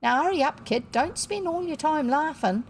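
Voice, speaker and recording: A woman's voice: sits high, lively intonation, normal speed, a little hiss or hum, pitched above the performer's own voice. Woman putting on a boisterous, hearty working-class dad. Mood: hearty, festive. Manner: jovial, colloquial, exclamatory. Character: boisterous, hearty working-class father just back home. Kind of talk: children's storybook character reading.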